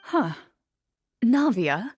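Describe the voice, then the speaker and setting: A woman playing a composed, earnest young woman in an anime game, measured and matter-of-fact. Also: fairly high pitch, very animated, a little mellow, slightly rough, exaggerated voice. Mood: puzzled, intent.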